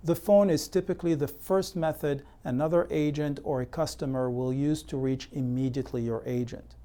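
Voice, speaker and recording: A man delivering scripted instructional narration as an educational presenter, in a measured, methodical, explanatory way. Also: on the high side, mellow. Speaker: presenter of real estate consumer education.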